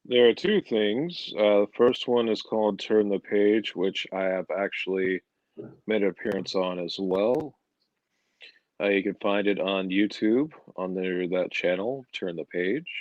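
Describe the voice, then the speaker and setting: A man playing a dry, deadpan comic-book villain scientist as an in-character guest on a livestream. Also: low voice, no room sound, highs cut off, lightly acted. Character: Doctor Hugo Strange, male comic-book villain scientist as a podcast guest. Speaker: guest columnist.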